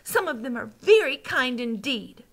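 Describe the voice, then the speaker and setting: A woman voicing an indignant, excitable and determined young girl from a storybook. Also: high voice, unhurried, voice pushed up, exaggerated voice. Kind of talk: storybook character read aloud.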